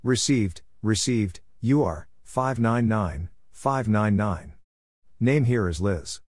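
A man with a fairly low voice reads practice radio exchanges very slowly. He spells out call signs and numbers in a measured way, and the sound is dry and close, with no room around it. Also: a little mellow, dry recording. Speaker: Morse code practice narrator. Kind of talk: reading radio contact exchanges aloud for practice.

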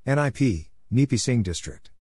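A man giving a scripted read-out as an instructor, spelling letters and saying names in short, measured, separate phrases. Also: mid-range voice, expressive, warm tone, breathy edge. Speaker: Morse code practice instructor. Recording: noisy recording with clicks.

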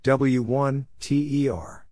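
A man reading out short scripted phrases as a practice narrator, deliberately spelling things letter by letter. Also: mid-range voice, fairly even intonation, darkish tone, no room sound, clean studio sound. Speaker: Morse code practice narrator.

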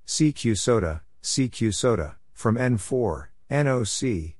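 A man reading out scripted radio exchanges for Morse code practice, spelling letters and numbers one by one in a measured, deliberate way. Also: medium pitch, animated, darkish tone, no room sound.

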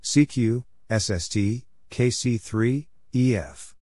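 A man narrating practice exchanges in a scripted readout, spelling out letters and short phrases in a clipped, deliberate way. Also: mid-range voice, darkish tone, dry recording, clean studio sound.

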